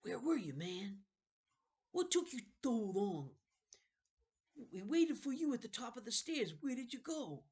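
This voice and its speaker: Woman voicing an eager, high-pitched questioning boy. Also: very animated, measured pace, long pauses, mellow. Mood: insistent, curious. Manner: insistent, questioning. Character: eager, questioning boys, loyal friends.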